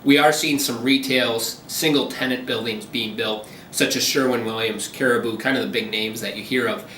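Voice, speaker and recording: Bright-voiced male realtor, distant and echoey. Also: mid-range voice, normal speed, slight background noise. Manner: steady, businesslike explaining with frequent ums. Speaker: commercial realtor. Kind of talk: phone interview.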